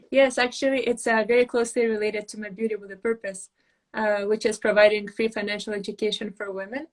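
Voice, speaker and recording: A young woman from Moldova, a pageant titleholder, chatting earnestly on a live video call with soft laughs and fillers. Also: mid-range voice, little pitch movement, a little fast. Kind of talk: casual live video chat.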